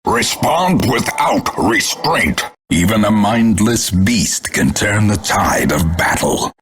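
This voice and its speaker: An actor playing a grim, scornful older male announcer in a video game, grandiloquent and portentous, with a gravelly, husky voice. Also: lowish voice, animated, takes it slowly, fairly bright tone, heavily acted. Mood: stern, vengeful, then ominous, grave. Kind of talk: theatrical scripted game announcements.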